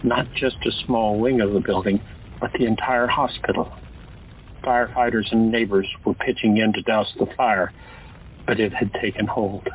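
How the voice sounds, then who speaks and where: Male narrator, audio drama, steady dramatic storytelling, lowish voice, tinny phone-like sound. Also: moderate pace, slightly rough.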